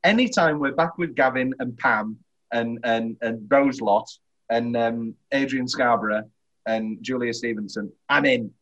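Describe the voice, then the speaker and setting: A British man hosting a casual film podcast, talking in a teasing, opinionated, animated way. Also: medium pitch, measured pace, highs cut off. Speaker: podcast host.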